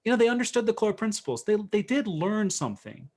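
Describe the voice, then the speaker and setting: A man's voice: high-pitched, sing-song, quick pace, vocal fry, darkish tone. A man speaking as a guest coach in a conversational interview, thinking aloud and rephrasing as he goes.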